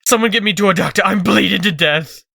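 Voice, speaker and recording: A man's voice: sits high, quick pace, vocal fry, sharp tone, no room sound, clean studio sound, full character voice. A man playing a cowardly, glib young trickster in a comic dub, whiny and pleading. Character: whiny, cowardly young male rascal, fast-talking trickster. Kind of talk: dramatic comic-dub voice acting.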